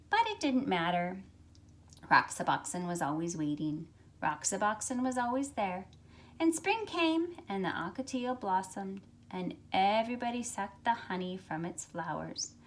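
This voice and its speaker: A middle-aged woman speaks as a teacher to children, at a medium pitch. Her phrasing is expressive and deliberate, suited to a picture book read-aloud. She is recorded indoors at home on a phone. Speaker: teacher reading to students. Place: carpeted room at home, indoors. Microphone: phone at arm's length.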